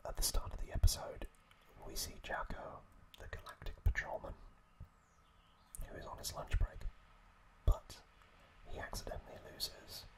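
A man whispering an ASMR recap as host, telling it in short, hesitant phrases with pauses. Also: rough recording.

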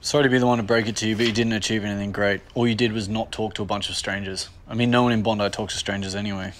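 A man's voice: lowish voice, little pitch movement, very fast, a little hiss or hum. An Australian man in his thirties in a comedy sketch, ranting bluntly and sarcastically with lots of swearing.

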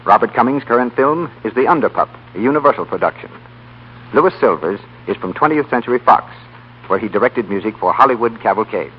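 A man's voice: mid-range voice, lively intonation, brisk. A man with an American accent reading scripted announcements as a 1930s radio announcer. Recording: dull, noisy 1930s radio broadcast.

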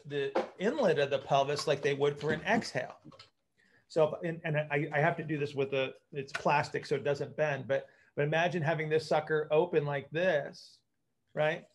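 A man's voice: a little high, moderate pace, a little hiss or hum. A middle-aged man explaining technical ideas informally as a coach on a video call, repeating words as he thinks aloud. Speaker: coach and physical therapist hosting a group call. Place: indoor room at home, group video call.

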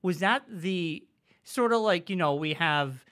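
Bright, animated man podcasting. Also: high-pitched, measured pace. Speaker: podcast co-host. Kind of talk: casual excited fan chat.

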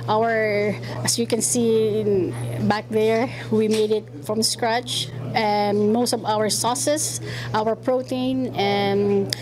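A woman in her thirties, a chef, giving casual interview answers in short halting phrases. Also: medium pitch, level tone, crowd noise. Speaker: chef and restaurant co-owner interviewee. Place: indoors in a restaurant. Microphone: handheld interview microphone. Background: background music.

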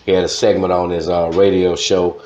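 A man's voice: low-pitched, little pitch movement, brisk, darkish tone. A man in his fifties with a Southern Louisiana accent, telling stories casually as a radio veteran being interviewed. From Baton Rouge, Louisiana. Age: 50s. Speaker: radio host, interview guest. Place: studio desk, indoors. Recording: slightly dull, echoey studio recording.

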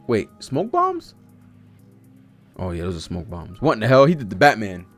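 Young adult American male streamer, very lively intonation, casual slangy profane commentary, close desk mic, music underneath. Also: on the low side, moderate pace. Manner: animated, slangy, profane reactions. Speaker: streamer reacting to a manga. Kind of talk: casual live read-along commentary. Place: home studio room with streaming setup. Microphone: desk microphone close by.

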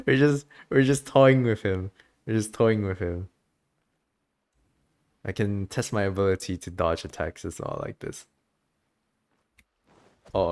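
A man giving casual gameplay commentary as a streamer. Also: fairly low pitch.

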